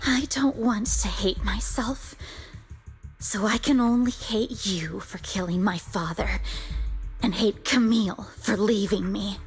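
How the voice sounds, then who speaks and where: A woman's voice: medium pitch, husky. An actress playing a bitter, grieving young woman raised in a cult, wounded and resentful, in a dramatic video game scene. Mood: cold, hateful. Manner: slow, deliberate, wounded and resentful. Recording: music behind the voice.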